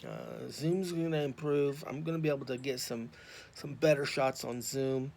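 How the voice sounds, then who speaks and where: An American man talking off the cuff to viewers, rambling and halting but earnest and informal. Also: mid-range voice, fairly even intonation, a little mellow. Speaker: YouTube vlogger and podcaster on addiction recovery. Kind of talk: impromptu, unscripted casual monologue to viewers. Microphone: phone. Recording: clear background noise, raw phone recording.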